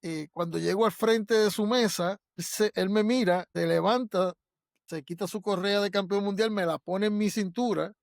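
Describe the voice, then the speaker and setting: A man's voice: high voice, fairly even intonation, moderate pace, gravelly, no echo, clean studio sound. A Puerto Rican man in his fifties, an interview guest telling personal stories conversationally and voicing the dialogue he quotes. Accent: Puerto Rican Spanish. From Puerto Rico. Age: 50s. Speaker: interview guest, martial arts master.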